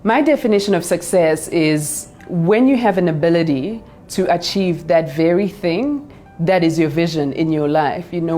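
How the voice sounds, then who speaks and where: Woman from south africa, low mellow voice, steady confident speaker, faint music under her. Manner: confident, measured, motivational. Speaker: business speaker and advisor. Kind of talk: personal story to camera. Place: studio with pink backdrop.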